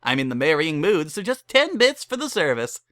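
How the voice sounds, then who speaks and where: A man's voice: fairly high pitch, sing-song, moderate pace, fairly bright tone, no room sound, studio quality, voice pushed up. A man voicing a cheery, pushy pony mare who runs a wedding chapel, with eager sales patter in a dramatic reading. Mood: gushing, pushy. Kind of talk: dramatic reading of fan fiction.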